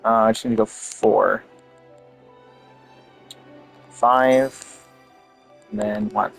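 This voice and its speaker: A man's voice: on the low side, fairly even intonation, extremely slow, gravelly, faint music behind. A man giving casual gameplay commentary, thinking aloud in an offhand way. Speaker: game streamer.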